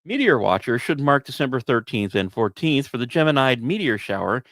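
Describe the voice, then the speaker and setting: A man's voice: mid-range voice, big pitch swings, fairly quick, a bit bright, no room sound, studio quality. A man hosting a podcast, narrating scripted science news with wry, self-deprecating asides and theatrical emphasis. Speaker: podcast host.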